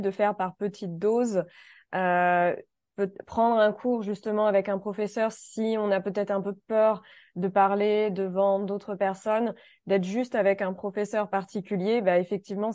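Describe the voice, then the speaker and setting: A woman's voice: medium pitch, darkish tone, no room sound, studio quality. A woman hosting a casual conversation about learning French, thoughtful and searching for her words with light laughs.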